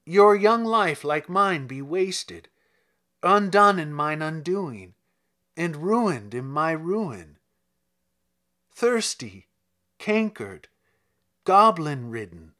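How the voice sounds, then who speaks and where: A man's voice: fairly high pitch, sing-song, drawn-out words, long pauses, bright, forceful tone, heavily acted. A man voicing an anguished, fearful young woman in a fairy-tale poem, imploring and dramatic. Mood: anguished, alarmed.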